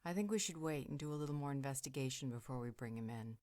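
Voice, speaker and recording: A woman playing a calm, motherly, protective character in a roleplay audio drama, measured and inquisitive. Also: rumbling low. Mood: thoughtful, concerned.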